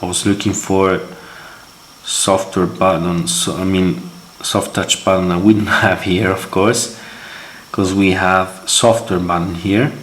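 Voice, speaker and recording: A man who is not a native English speaker gives an unscripted hands-on gadget review, halting and wordy, often repeating words as he goes. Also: low voice, little pitch movement. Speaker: tech reviewer, host of a gadget channel. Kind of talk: hands-on product demo, unscripted. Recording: echoey room, faint background noise.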